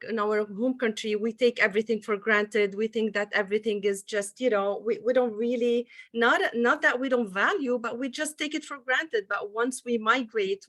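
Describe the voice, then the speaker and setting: A woman's voice: fairly high pitch, a bit bright. Lebanese woman presenting with many fillers. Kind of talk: presenting slides on a video call.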